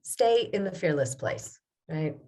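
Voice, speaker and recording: A woman with a slightly low voice speaks as a poetry editor in a casual panel chat. She is emphatic, repeating words and phrases to make her point. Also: expressive, a little slow, warm tone. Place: remote video call.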